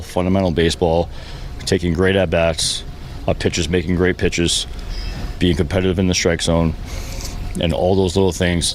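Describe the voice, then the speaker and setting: A man who manages a baseball team answers reporters after a game in a halting, plain-spoken way. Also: sits low. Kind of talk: post-game press conference answers. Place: post-game press session with reporters. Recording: faint background noise at press session.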